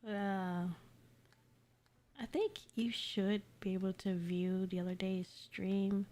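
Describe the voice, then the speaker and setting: A woman's voice: medium pitch, slow pace, mellow. A woman live-streaming a game in casual chat, muttering short reactions and little sound words to herself as she plays.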